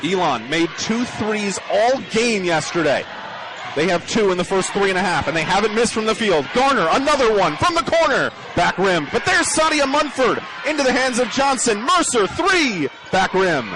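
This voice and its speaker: A man's voice: high-pitched, sing-song, moderate pace. A young man doing live radio basketball play-by-play in clipped, punchy phrases with a raised voice. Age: young adult. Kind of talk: live radio sports commentary. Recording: noisy gym ambience. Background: bouncing basketballs, gym noise.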